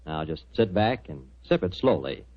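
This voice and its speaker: A man's voice: low voice, big pitch swings, normal speed. A man with an American accent announcing for a 1940s radio show in a chummy, emphatic salesman's manner. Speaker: radio announcer and sponsor's pitchman. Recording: muffled 1940s radio broadcast.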